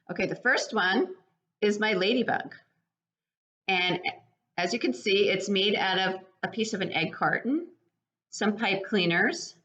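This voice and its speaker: A woman's voice: medium pitch, a bit bright. An older woman, explaining a children's craft step by step in a plain way, like a preschool educator. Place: living room at home, indoors. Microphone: webcam at about arm's length. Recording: dull webcam sound.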